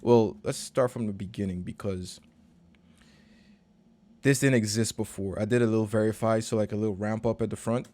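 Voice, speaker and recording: A man, a recording and mixing engineer, casually walking through a session in a relaxed, slangy, conversational way. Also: on the low side, moderate pace, slight background noise. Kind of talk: casual screen-share walkthrough.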